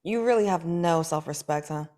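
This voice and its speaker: A woman reading a dry, sardonic young writer in a comedic table read, with deadpan, teasing delivery. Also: low-pitched, moderate pace, natural voice.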